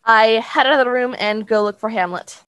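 A woman with a high, bright voice talks as a tabletop game player. Her style is casual and conversational, recorded dry. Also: brisk, clean tone, no echo.

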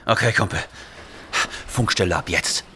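A man as low-voiced, casual space commander. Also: moderate pace, natural voice. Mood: hurried, focused. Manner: casual, buddy-like, wry. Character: cool, casual male space commander hero on a mission. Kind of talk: retro sci-fi radio drama.

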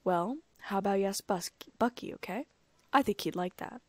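A woman's voice: medium pitch, normal speed, a little mellow, dry recording, close to an everyday voice. A woman voicing a wry, amused trickster god who is fond of a little girl. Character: mischievous godlike trickster man, fond of child.

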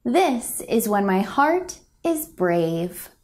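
A young woman reading a children's picture book aloud in a gentle, expressive, deliberate storytelling manner. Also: medium pitch. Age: young adult. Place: indoors, a home room.

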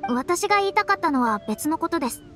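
A woman voicing a proud, composed young woman astrologer in a game, speaking politely and formally with quiet self-assurance. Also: extremely high pitch, very animated, quick pace, faint music behind, full character voice. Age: young adult. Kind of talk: game voice acting.

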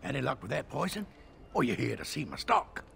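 A gravelly, hearty village tradesman. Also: medium pitch, big pitch swings, brisk, a bit bright, heavily acted. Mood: hearty, satisfied. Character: gruff, hearty village tradesman in a fantasy game. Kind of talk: video game character acting. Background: game music.

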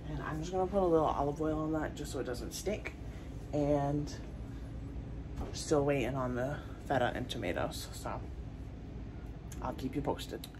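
A woman's voice: low-pitched, normal speed, long pauses. Chatty woman cooking in a noisy kitchen. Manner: chatty, talks while cooking, addresses viewers. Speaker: home cooking vlogger. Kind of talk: casual cooking vlog chat. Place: home kitchen.

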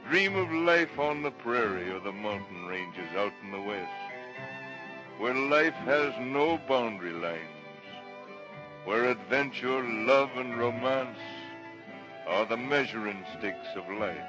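A man's voice: mid-range voice, expressive, slow, highs cut off. A man playing a genial, folksy ranch boss and host in 1930s radio style. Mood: wistful, inviting. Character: genial ranch boss hosting a cowboy show. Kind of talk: 1930s radio announcing and acting. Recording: old 1930s radio recording, music behind.

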